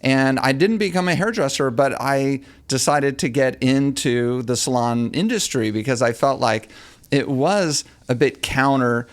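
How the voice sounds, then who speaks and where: A man's voice: medium pitch, unhurried. A man from Texas hosting a podcast, thoughtful and folksy, often repeating words as he starts a sentence. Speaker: podcast host. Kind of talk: casual interview chat.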